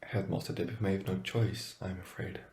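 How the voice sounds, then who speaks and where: Man acting grave wizard professor, middle-aged, low and dark, measured, formal, audio drama. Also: little pitch movement, natural voice. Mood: grave, probing.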